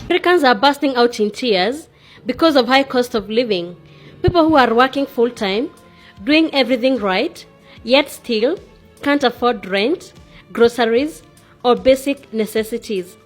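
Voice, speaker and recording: A woman's voice: on the high side, lively intonation, unhurried, faint music behind. A woman reading scripted documentary narration.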